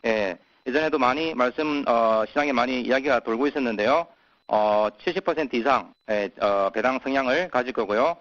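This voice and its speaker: A man's voice: mid-range voice, a bit bright. Hesitant man on a muffled conference call. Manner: halting, many filler sounds. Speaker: company executive presenting. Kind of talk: earnings call presentation and answers.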